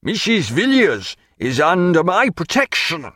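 A man voicing an angry, blustering, possessive gentleman in an audiobook, with accusatory, formal period diction. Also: high voice, wildly swooping pitch, a little slow, no echo, studio quality, voice pushed up, exaggerated voice. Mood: outraged. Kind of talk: dramatised audiobook character voice.

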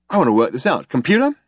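A man voicing a vain, insecure, cocky spaceman in an audiobook, excitable and acting rather slow on the uptake. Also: mid-range voice, no room sound, clean studio sound, pitched above the performer's own voice. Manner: cocky, excitable, drawn-out bursts. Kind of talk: audiobook character voice.

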